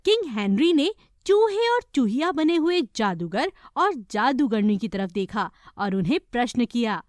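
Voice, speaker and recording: High-pitched woman narrating a children's story. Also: sing-song, a little slow, no echo, clean studio sound.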